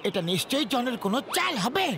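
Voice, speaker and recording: An actor voicing a bossy, easily alarmed comedy cartoon character in an emphatic, exclamatory way. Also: male voice, very high-pitched, sing-song, fast talker, noisy background. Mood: suspicious, baffled. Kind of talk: animated comedy cartoon dub. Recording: loud music behind.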